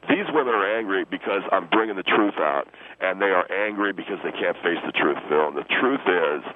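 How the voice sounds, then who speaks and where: A man's voice: low-pitched, pitched below the performer's own voice, lightly acted. A man voicing a vain, pompous younger American radio guest who pushes his slangy opinions on a comedy show. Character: vain, smug younger man, self-styled relationship expert guest. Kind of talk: comic radio interview character. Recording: muffled phone line with loud noise.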